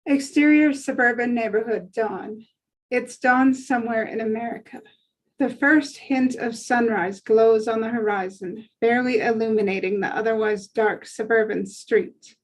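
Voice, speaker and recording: A woman's voice: a little high, normal speed, rough recording. A woman reading screenplay scene descriptions aloud as a narrator at a table read.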